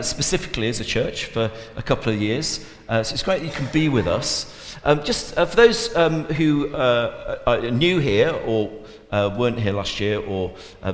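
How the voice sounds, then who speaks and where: A man leading a church service, interviewing a guest in a hesitant way full of ums and restarts. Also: medium pitch. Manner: hesitant, many fillers and restarts. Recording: echoey church hall, long reverb.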